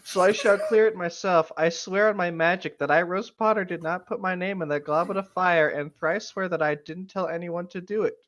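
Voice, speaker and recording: A man's voice: sits high, brisk, few pauses, fairly bright tone. A man reading fan fiction aloud as a solemn, indignant schoolgirl witch, in a formal, declamatory manner. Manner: declamatory, formal oath-taking.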